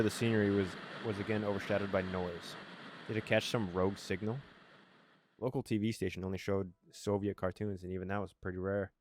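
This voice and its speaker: A man's voice: fairly low pitch, noisy background. A man doing a casual let's play, reading narration aloud and commenting on it, sometimes tripping over his words. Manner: stumbles over words while reading, self-correcting.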